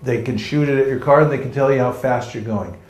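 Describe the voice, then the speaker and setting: A man's voice: medium pitch, quick pace, darkish tone, a little hiss or hum. A man from California teaching a book distribution seminar to a live audience, joking and acting out street encounters. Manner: playful, jokey, acts out street encounters. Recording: echoey room.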